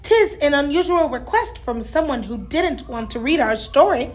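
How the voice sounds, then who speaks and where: A woman's voice: high-pitched, expressive, normal speed. A woman voicing a courtly, haughty knight with pompous, old-fashioned speech in a children's storybook. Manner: pompous, formal. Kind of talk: children's storybook read-aloud.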